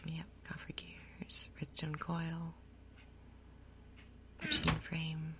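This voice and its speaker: A woman speaks in a hushed, breathy whisper with a low, creaky edge. She gently talks viewers through a game as an ASMR host, taking her time. Some background noise sits behind her voice. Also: completely flat delivery. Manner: gentle, unhurried, explanatory. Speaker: gaming video host.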